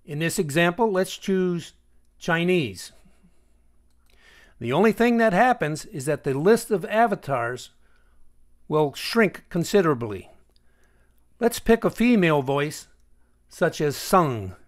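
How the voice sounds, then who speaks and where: Higher-pitched man narrating a software tutorial. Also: very animated, takes it slowly, long pauses. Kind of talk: instructional screencast narration.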